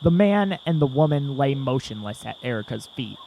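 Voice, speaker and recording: A man's voice: medium pitch, very animated, normal speed, gravelly, dark tone, a little hiss or hum, poor audio. A man narrating a scripted audio drama in the third person.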